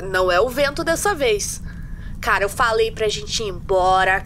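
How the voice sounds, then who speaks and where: A woman's voice: mid-range voice, animated, moderate pace, a bit bright, close to an everyday voice. A woman playing a nervous, irritable young ghost hunter in an audio drama, with a Brazilian accent and sarcastic, sweary speech. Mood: frightened. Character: nervous, irritable young companion in a haunted house. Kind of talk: audio drama acting, colloquial dialogue. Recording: noisy ambience behind voice.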